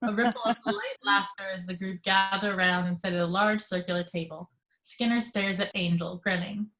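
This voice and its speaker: A woman's voice: medium pitch, fairly even intonation, brisk, bright, forceful tone, low-quality recording. A woman reading scene headings and action lines as narrator of a script table read.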